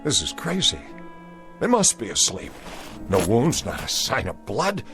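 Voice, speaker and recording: A gruff, wary Southern soldier of the Civil War in a radio-style drama. His low voice moves through wide swings of pitch in a blunt drawl, over music. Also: huge pitch swings, a little slow, fairly bright tone, heavily acted. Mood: alarmed, commanding. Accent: American South. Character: gruff, skeptical Confederate soldier, younger man. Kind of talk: old-time radio-style drama acting.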